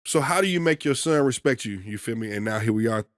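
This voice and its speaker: A man in his thirties co-hosting a podcast, telling stories in a loud, commanding, emphatic way. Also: mid-range voice, lively intonation, quick pace, no echo, clean studio sound. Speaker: podcast co-host. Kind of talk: casual podcast chat.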